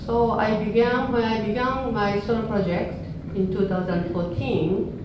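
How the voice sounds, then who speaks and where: Older woman, Japanese accent, earnest and unhurried. Distant, heavy reverb, noisy. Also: medium pitch, fairly even intonation. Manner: earnest, unhurried, frequent laughs, non-native phrasing. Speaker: dance artist giving a talk. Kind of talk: informal public talk. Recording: echoey hall, speaker off-mic, audible noise.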